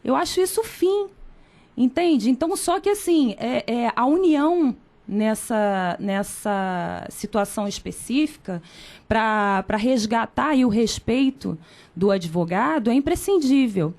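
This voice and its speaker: A woman with a Brazilian accent, a lawyer speaking in a measured, formal way in a radio interview. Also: a little high, animated, a little mellow. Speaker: lawyer and candidate.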